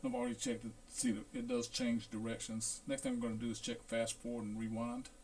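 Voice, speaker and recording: A man talking through a hands-on equipment check as a repair technician, in a casual, unhurried, halting way, thinking aloud. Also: mid-range voice, little pitch movement, darkish tone, slight background noise. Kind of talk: casual hands-on demonstration narration.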